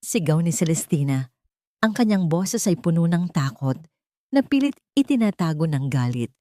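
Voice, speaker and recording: A Filipino woman narrates a dramatic audio story in the first person, her voice sitting low. The recording is dry and close, with no room around it. She pushes her pitch and volume up when she voices other people's lines. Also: very animated, normal speed, dark tone, no echo. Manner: rises in pitch and volume for quoted dialogue.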